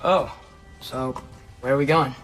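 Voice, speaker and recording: A man's voice: medium pitch, steady tone, a little slow, fairly bright tone, soft background music, lightly acted. A man playing an earnest, restless teenage boy in a video game, with a casual way of talking. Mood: sheepish. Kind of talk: naturalistic video game acting.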